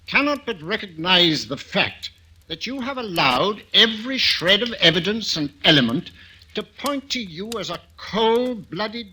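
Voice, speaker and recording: A pompous old-time judge. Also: male voice, high-pitched, pushed and bright, husky, heavily acted, rough recording. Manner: formal, halting, pompous. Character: stern male judge of a crown court. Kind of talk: 1940s radio drama acting.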